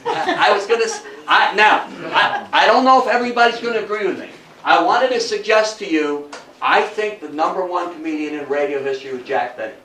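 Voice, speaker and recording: A man's voice: sits high, bright, forceful tone. Guy doing an informal history-club style talk, stumbles a bit, asks the listeners stuff, echoey, far from the mic. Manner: conversational, halting, prompts listeners with questions. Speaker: guest lecturer. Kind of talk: informal public lecture with audience questions. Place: indoor meeting room, before a local audience. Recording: echoey room, distant microphone.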